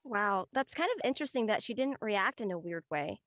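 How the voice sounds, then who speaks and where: A woman's voice: mid-range voice, expressive, a little fast, fairly bright tone. A woman playing a curious teenage friend, casual and questioning, in a drama read.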